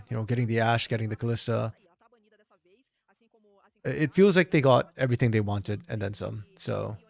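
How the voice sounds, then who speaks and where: A man with a slightly hoarse voice, reviewing a match on his own in a casual, think-aloud way with frequent restarts. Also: mid-range voice, no room sound. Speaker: esports caster doing a solo VOD review. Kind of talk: casual solo game analysis commentary.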